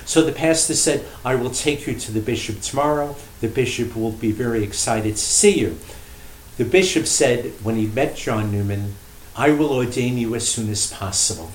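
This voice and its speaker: A man, a priest interviewed as a guest, telling history in an unscripted, measured and emphatic way, with pauses for effect. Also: medium pitch. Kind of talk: unscripted storytelling in a radio interview. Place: indoor room at a shrine. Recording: roomy echo, faint background noise.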